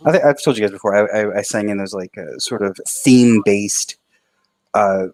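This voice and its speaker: A man with a baritone voice and a bit of a twang, telling rambling stories in a casual late-night stream chat. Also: normal speed, a little mellow, no echo. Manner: rambling storyteller, restarts a lot. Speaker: stream co-host.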